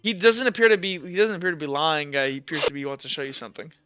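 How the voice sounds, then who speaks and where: A man narrating a tabletop roleplaying game as game master, improvising in a halting way and rephrasing as he goes. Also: a little high, steady tone, sharp tone, highs cut off, faint music behind.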